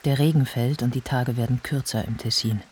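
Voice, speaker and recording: An actor playing a detached, observant narrator who sets scenes in a sober, descriptive way for a radio drama. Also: male voice, medium pitch, fairly even intonation, brisk, darkish tone, husky. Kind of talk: scripted present-tense narration.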